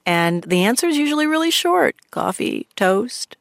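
Low-voiced woman journalist in a studio. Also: sing-song, moderate pace, vocal fry, no room sound. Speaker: journalist and podcast host, as guest. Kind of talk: radio interview conversation. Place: radio studio. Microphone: studio microphone.

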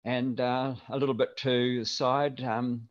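An older man from New Zealand with a mild New Zealand accent, chatting as an interview guest in a relaxed, rambling way with lots of fillers. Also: medium pitch, measured pace. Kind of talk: casual interview chat. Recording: dull lo-fi remote audio.

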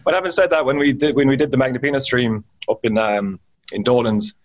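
A man, co-hosting a podcast and talking casually about his band, his voice slightly husky from practice. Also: medium pitch, brisk, muffled recording. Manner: relaxed, swears casually. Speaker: podcast co-host and band musician.

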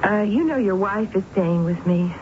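An actress playing a tense, brooding middle-aged woman who narrates her own dark story in a 1970s radio drama. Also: mid-range voice, normal speed, natural voice. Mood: anxious. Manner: intense, brooding. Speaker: narrator and lead character. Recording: tinny, hissy old radio broadcast.

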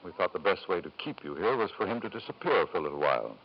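A man's voice: low-pitched, very animated, fast talker, lo-fi sound, a little hiss or hum. An actor playing a ruthless, possessive husband and doting father in a 1950s radio drama, formal and controlling, on an old broadcast recording. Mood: cool, needling.

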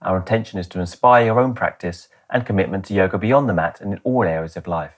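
A man with a low voice introduces a podcast as its host. His delivery sounds scripted, and his tone has a husky, slightly rough grain. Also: fast.

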